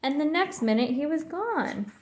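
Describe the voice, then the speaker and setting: A woman's voice: high-pitched, expressive, normal speed, reverberant, voice pushed up. A woman voicing an aggrieved little girl bear cub in a storybook, indignant and emphatic.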